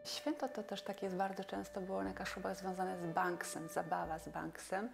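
A woman's voice: on the low side, steady tone, moderate pace, vocal fry. A woman in her forties from Poland, talking in an explanatory documentary interview as a folk customs expert. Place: furnished indoor room. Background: music.

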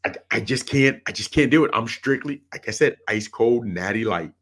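A middle-aged man with a mid-pitched voice chats casually as a panelist on a sports podcast. He talks informally and repeats his phrases for emphasis, speaking from a room over a video call. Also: expressive, moderate pace, fairly bright tone. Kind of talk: casual chat.

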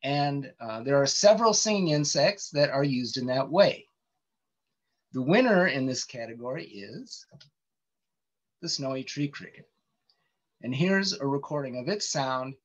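Halting man giving an online talk. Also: mid-range voice, lively intonation. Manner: halting, many ums and restarts. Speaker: presenter giving a webinar talk. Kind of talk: informal online lecture. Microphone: computer mic on a video call. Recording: dull lo-fi call audio.

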